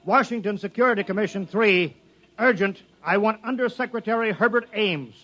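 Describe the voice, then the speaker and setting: An actor playing a harried rocket company boss in a 1950s radio drama. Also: male voice, sits high, normal speed, pushed and bright, lo-fi sound. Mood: urgent, harried.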